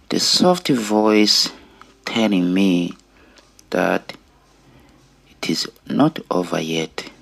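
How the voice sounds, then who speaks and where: Man, forties, Rwandan accent, deep and unhurried, earnest testimony delivery. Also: vocal fry. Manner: earnest, deliberate, with pauses between short phrases. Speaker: storyteller sharing own life story. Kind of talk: personal testimony.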